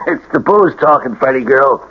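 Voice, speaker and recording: An actor playing a skeptical, slangy small-time crook in a radio drama. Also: male voice, medium pitch, animated, normal speed. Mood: mocking. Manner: slangy, scornful, gruff-talking. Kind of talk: 1980 radio drama acting. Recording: noisy, dull old radio broadcast.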